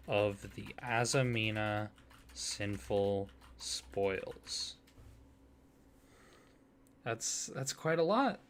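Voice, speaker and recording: A man doing a soft-spoken ASMR roleplay, hesitant and flustered, with many fillers, restarts and awkward apologies. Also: medium pitch, a little hiss or hum.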